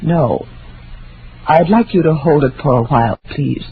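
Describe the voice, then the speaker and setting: A woman's voice: deep voice, unhurried. An actress playing a stern, controlling middle-aged woman in an old-time radio drama, with a curt, clipped way of speaking. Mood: grave, restrained. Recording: tinny, noisy old radio recording.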